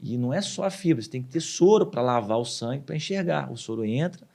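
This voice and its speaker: A man's voice: mid-range voice, fast talker, vocal fry. A man, a surgeon guest telling stories on a podcast and acting out quoted dialogue. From southern Brazil. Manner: animated storyteller, acts out quoted dialogue. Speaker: urologist guest. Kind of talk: casual podcast interview.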